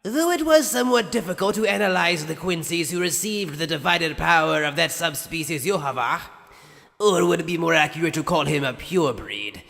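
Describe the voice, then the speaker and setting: Smug, swooping mad scientist. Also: male voice, a little high, vocal fry, sharp tone, exaggerated voice. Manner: condescending, mock-polite, drawn-out theatrical delivery. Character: arrogant, sadistic male mad scientist, smug and theatrical. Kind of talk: anime fan dub acting. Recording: long cavernous reverb.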